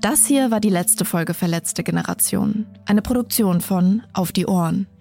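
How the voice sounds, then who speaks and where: A woman's voice: mid-range voice, moderate pace, vocal fry. A woman reading scripted credits as an announcer in an orderly narration style. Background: prominent music.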